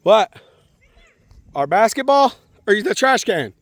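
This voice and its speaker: A man's voice: high-pitched, very animated, slow, slight background noise. A man talking casually and encouragingly as a father to a young child, outdoors at a park. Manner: encouraging, informal, addresses child as man and bud. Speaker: father and vlogger.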